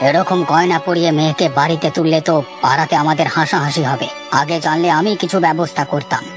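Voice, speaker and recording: A woman's voice: low voice, fairly even intonation, fast, lightly acted. A woman voicing a haughty, scolding older father-in-law in a cartoon family drama. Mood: disdainful. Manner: scornful, scolding. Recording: music behind the voice.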